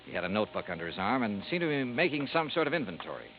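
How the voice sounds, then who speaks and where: An actor playing a wry, cool insurance investigator who narrates and questions people in a dry, laconic way, in a 1950s radio drama. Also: male voice, lowish voice, big pitch swings, racing, soft background music. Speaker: narrator and lead detective. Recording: tinny lo-fi old radio broadcast with faint hiss.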